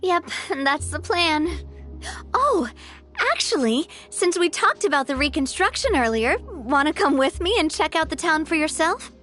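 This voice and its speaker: A woman's voice: high voice, very animated, measured pace, a bit bright, soft background music, exaggerated voice. A woman voicing a cheerful, responsible young woman leader who talks in a breezy way and laughs often. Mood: upbeat. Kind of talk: video game voice acting.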